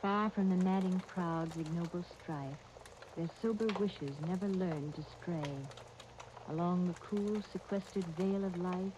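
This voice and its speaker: A kind, earnest young woman played by an actress in an old radio soap opera. Her voice sits a little low and she speaks with gentle, reassuring politeness, over the clear noise of a 1930s disc recording. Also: unhurried, close to an everyday voice. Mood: polite, admiring. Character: kind, earnest young fiancée training as nurse.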